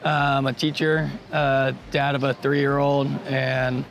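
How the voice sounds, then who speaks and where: A man's voice: mid-range voice, level tone, slow. An American man from Utah introducing himself in an interview, hesitating with fillers. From Utah, United States. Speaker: runner. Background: music.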